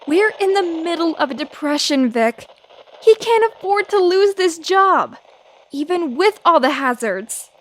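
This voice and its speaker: An actress playing a brash, tenacious 1930s newspaper reporter, feisty and full of snappy period slang. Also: female voice, very high voice, expressive, unhurried. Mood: insistent. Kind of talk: old-time radio drama acting.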